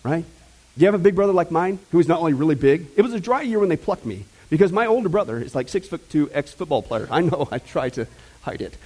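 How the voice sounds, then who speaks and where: A man preaching a sermon to his congregation, telling a joke and talking to the crowd in an animated, playful way. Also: a little high, quick pace, husky, low-quality recording. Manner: animated, joking, talks to the congregation. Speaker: pastor. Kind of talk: sermon with jokes. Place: church sanctuary.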